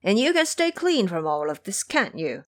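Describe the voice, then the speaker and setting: A woman's voice: mid-range voice, very animated, moderate pace, natural voice. A woman voicing a chattering, complaining male pig disciple in an audio drama.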